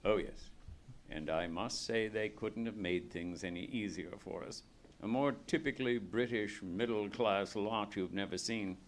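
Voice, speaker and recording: A man's voice: fairly low pitch, measured pace. A man playing a hearty, shrewd British police inspector in a live radio play, talking in an expansive, knowing way. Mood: brisk, satisfied. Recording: live theatre stage, faint noise.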